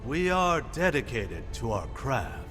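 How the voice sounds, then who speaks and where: An actor playing a genial, loyal male craftsman and mentor with a formal, expressive manner in a video game cutscene. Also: medium pitch, a little slow. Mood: encouraging. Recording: hall reverb, music behind.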